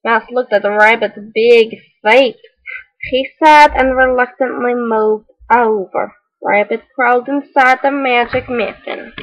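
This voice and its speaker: Lady doing a really high voiced kids book reader, fake foreign accent, earnest and odd, parody storytime. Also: unhurried, lo-fi sound. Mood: earnest, deadpan. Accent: mock foreign. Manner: exaggerated storytelling with odd, solemn asides. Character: Jo, quirky, earnest children's read-aloud hostess. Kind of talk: parody storybook read-aloud with deadpan asides.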